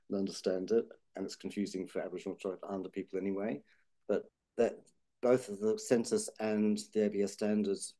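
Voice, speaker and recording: A man's voice: fairly low pitch, warm tone. A man with a thoughtful, hedging way of talking, full of restarts, discussing health data policy.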